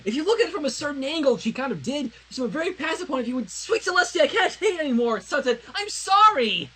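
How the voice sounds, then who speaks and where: A man's voice: very high-pitched, very animated, quick pace, pushed and bright, pitched above the performer's own voice, exaggerated voice. A man voicing a flustered young pony princess in human form, rambling anxiously and earnestly in a dramatic fanfiction reading. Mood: frantic, exasperated. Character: flustered young female pony princess turned human, nervously confessing love.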